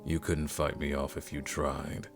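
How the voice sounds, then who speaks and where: A man acts out a seductive male vampire lord with an extremely low voice that crackles with gravel. He draws his words out slowly, teasing and condescending like a predator toying with prey, in an intimate ASMR audio drama. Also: completely flat delivery, exaggerated voice. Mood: menacing, amused. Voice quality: deep, creaky, breathy at times. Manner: slow, teasing, drawn-out, condescending. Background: music.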